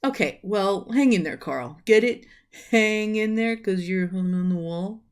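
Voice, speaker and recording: A woman's voice: mid-range voice, animated, unhurried, a little mellow, close to an everyday voice. A woman voicing a lonely, eager, chatty male misfit monster in a children's storybook, with exclaiming, emphatic delivery. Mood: jokey.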